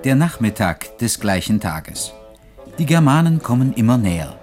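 A man's voice: mid-range voice, sing-song, normal speed. A man narrating a German radio play, reading scripted scene-setting. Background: music.

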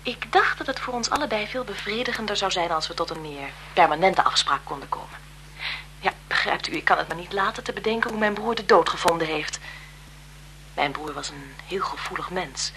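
An actress playing a frail-seeming, calculating blackmailer in a radio drama, deferential and insinuating in manner. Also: female voice, mid-range voice, bright, forceful tone. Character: frail-seeming, calculating female blackmailer, photographer's bereaved sister. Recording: 1970s radio recording with noise.

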